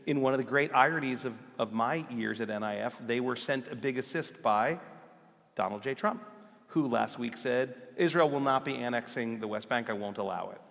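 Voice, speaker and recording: A man moderating an onstage conversation at a live event, earnest and halting, with frequent restarts. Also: medium pitch, vocal fry, a bit bright, long reverb tail. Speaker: moderator, organization CEO. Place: large hall, live event.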